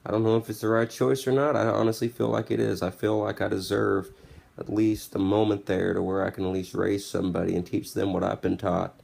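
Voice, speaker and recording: A man's voice: fairly low pitch, little pitch movement, fairly quick, gravelly, darkish tone. A man in his twenties giving a candid, blunt, casual motivational monologue. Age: 20s. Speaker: podcast host. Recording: music playing behind the voice. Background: background music.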